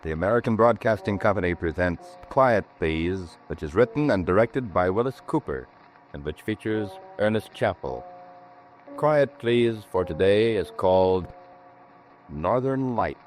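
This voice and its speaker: A man announcing a 1940s radio program in a formal, measured, solemn style. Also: low-pitched, lively intonation, low-quality recording. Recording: vintage radio broadcast over music. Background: music.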